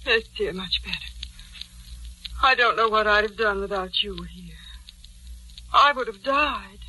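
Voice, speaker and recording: A woman's voice: medium pitch, unhurried, bright, forceful tone, rough recording. A woman playing a judge's clinging, frail-hearted wife with theatrical, breathless pleading in 1940s radio melodrama style. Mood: weakly pleading. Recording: 1940s radio recording, faint noise.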